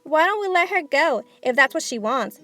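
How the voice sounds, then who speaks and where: A woman's voice: high voice, lively intonation, normal speed, a bit bright, soft background music, pitched above the performer's own voice. A woman voicing an indifferent storybook mother with a casual, dismissive manner. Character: offhand, indifferent adult mother.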